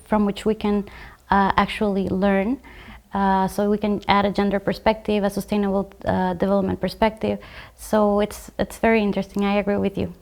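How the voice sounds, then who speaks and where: A woman from Guatemala, a graduate student, talking about her study program in a measured way, with frequent fillers and restarts. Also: mid-range voice, a little mellow. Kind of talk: conversational program overview.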